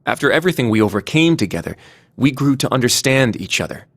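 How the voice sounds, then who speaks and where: An actor playing an earnest, loyal young military student, speaking sincerely and seriously in video game dialogue. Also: male voice, medium pitch, big pitch swings, fast talker, no echo. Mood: regretful, firm.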